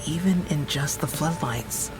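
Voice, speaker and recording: An actor plays a weary, observant field operative recounting a rescue in an audio drama, in measured, halting phrases. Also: lightly acted. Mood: sober, observant. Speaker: narrator and field worker character. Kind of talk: audio drama narration, log-like account. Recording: music bed and engine rumble behind. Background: music, vehicle sounds.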